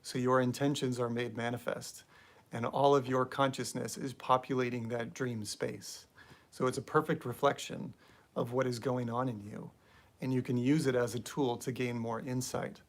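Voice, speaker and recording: A young adult man hosting a spiritual live stream, talking in a relaxed, rambling way with many fillers and reading viewer comments aloud. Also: medium pitch, normal speed. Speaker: spiritual channel host.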